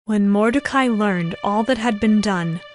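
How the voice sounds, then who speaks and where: A woman's voice: mid-range voice, unhurried. Woman narrating scripture over music. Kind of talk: scripted dramatized Bible narration.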